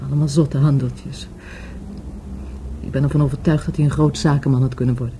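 Wistful bereaved woman, very dark soft voice, halting delivery, radio play, faint hiss of noise. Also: extremely low pitch, fairly even intonation. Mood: wistful, earnest. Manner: hesitant, halting, softly searching for words. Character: grieving, wistful lover of a murdered market trader. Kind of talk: Dutch radio play acting.

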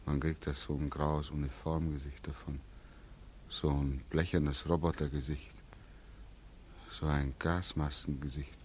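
Low-voiced, weary returning soldier. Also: male voice, little pitch movement, measured pace, darkish tone, a little hiss or hum. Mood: dejected. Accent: German. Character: weary, self-conscious returning soldier with a lame leg. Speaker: radio drama actor. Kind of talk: 1940s German radio play acting. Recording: vintage 1940s radio recording with music.

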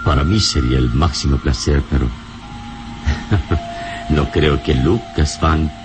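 An actor playing a serene, gallant adventure hero in an old radio serial, speaking in an unhurried, courtly, philosophical way. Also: male voice, rumbling low, noisy background. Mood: solemn, romantic.

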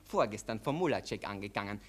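An actor playing a callous, boastful young army lieutenant with a Viennese accent, chatty and jaunty in a 1940s radio play. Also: male voice, on the low side, lively intonation, fast talker, a bit bright. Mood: jaunty boasting. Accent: Viennese Austrian. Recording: old 1940s radio recording.

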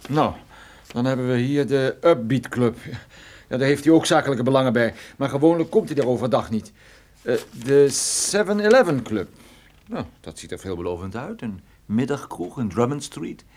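An actor playing a wry, unflappable private detective in a radio play, dry and sardonic. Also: male voice, mid-range voice, lively intonation, normal speed, darkish tone, close to an everyday voice. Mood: businesslike. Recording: slightly noisy radio play recording.

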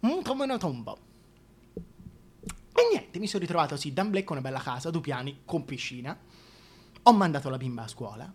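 A man's voice: a little high, very animated, moderate pace, pauses often, husky. A man playing a scheming, chatty single mother in a comic radio monologue, with a Tuscan accent and colloquial delivery. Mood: smug, breezy. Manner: gossipy colloquial storytelling. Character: chatty, scheming single mother, a shameless working-class opportunist.

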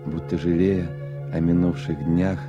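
A man reading scripted literary narration for a radio play, measured and with deliberate pauses. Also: low voice, flat delivery. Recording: dull old radio recording, faint music. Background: faint music.

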